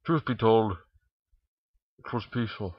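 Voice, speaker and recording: A man's voice: on the low side, a little slow, husky, no room sound, lo-fi sound. A man reading a story aloud in an informal amateur narration, stumbling now and then. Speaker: fan fiction reader.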